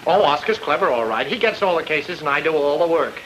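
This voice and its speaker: Wisecracking, reluctant private eye, old radio mystery, higher-set voice, noisy transfer. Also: male voice, expressive, a little fast, fairly bright tone. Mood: exasperated. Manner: dry, sarcastic wisecracks. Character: wisecracking, reluctant newlywed private detective, put-upon assistant. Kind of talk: old-time radio detective drama acting.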